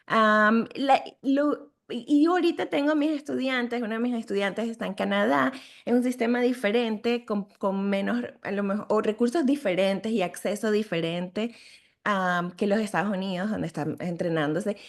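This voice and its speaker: A woman's voice: medium pitch, moderate pace. A middle-aged woman with a Venezuelan Spanish accent, a sleep medicine physician giving a conversational webinar lecture full of fillers and restarts.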